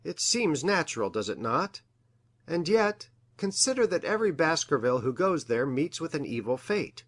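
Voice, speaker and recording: A man playing an earnest, anxious country doctor in a Victorian detective story, speaking formally and deliberately. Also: on the high side, big pitch swings, fairly bright tone. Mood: earnest, worried. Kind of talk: dramatised reading of a Victorian detective novel.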